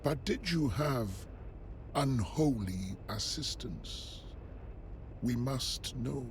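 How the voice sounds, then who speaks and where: An actor playing a cold, suspicious inquisitor in a video game, formal and authoritarian. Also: male voice, mid-range voice, expressive, very slow, a little hiss or hum. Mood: threatening. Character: cold, menacing, suspicious inquisitor in grim sci-fi. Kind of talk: video game cutscene acting.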